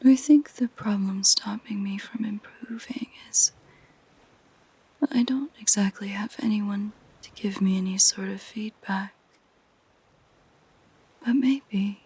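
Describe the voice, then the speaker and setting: Siren for audio roleplay, female performer: lonely, possessive, soft whispery delivery, creaky edges, unhurried and intimate. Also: medium pitch, steady tone, dry recording, exaggerated voice, low-quality recording. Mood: wistful, lonely. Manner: slow, gentle, intimate. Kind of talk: roleplay audio drama for a listener.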